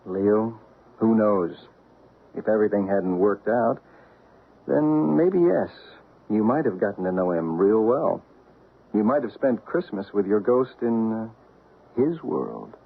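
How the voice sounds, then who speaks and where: Gravelly, easygoing radio-play ghost investigator. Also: male voice, on the low side, expressive, measured pace, long pauses. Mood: quietly ominous. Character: genial ghost investigator, calm and faintly ominous. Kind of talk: radio drama acting. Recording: muffled, noisy phone-like old radio recording.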